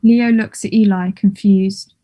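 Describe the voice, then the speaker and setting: Mellow-voiced woman reading stage directions. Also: mid-range voice, steady tone, measured pace. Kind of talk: scripted narration for a play table read.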